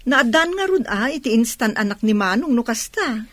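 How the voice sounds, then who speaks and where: A woman's voice: on the high side, lively intonation, moderate pace. A woman playing a caring, indulgent mother in an audio drama. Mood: sociable, curious.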